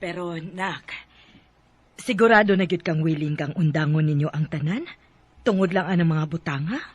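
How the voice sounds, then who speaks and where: A woman with a Cebuano Filipino accent playing a concerned middle-aged mother, gently insistent as she counsels in a radio drama. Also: low-pitched, moderate pace, slightly rough, muffled recording. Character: concerned, advising mother of a grown son. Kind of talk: Bisaya radio drama acting.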